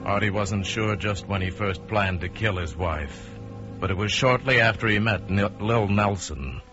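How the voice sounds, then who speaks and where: A dull old radio recording with music underneath carries an actor as a low-voiced husband coolly plotting murder. He is measured and deliberate, pausing for drama in a mid-century crime thriller manner. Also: natural voice. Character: married man coolly plotting to kill his wife. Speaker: narrator and lead character.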